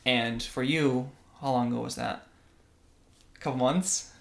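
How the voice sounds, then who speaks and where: A man's voice: medium pitch, steady tone, measured pace, creaky. A young man from Russia co-hosting a casual religious podcast, earnest and often checking in with "right?". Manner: earnest, tag questions like "right?". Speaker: podcast co-host.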